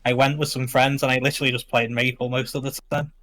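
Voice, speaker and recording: A young man with a Liverpool accent talks in an easy, informal way. His pitch is average for a man, and his speech is full of gamer slang, like someone chatting on a stream. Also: flat delivery, quick pace, a bit bright. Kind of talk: casual chat.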